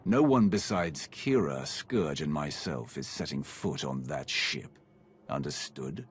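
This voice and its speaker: A man playing a stern, authoritative Sith warrior commander in a video game cutscene, with a deep gravelly voice. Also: expressive, takes it slowly, exaggerated voice.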